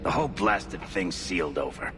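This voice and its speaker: An actor playing a gruff, grumbling dwarf adventurer in a video game. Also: male voice, sits low, expressive, moderate pace, over music. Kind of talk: dramatic video game acting.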